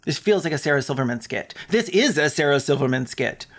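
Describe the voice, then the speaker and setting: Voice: medium pitch, lively intonation, a little fast. A commentator delivering a scripted video essay with casual asides, in a sarcastic, mocking and sweary manner.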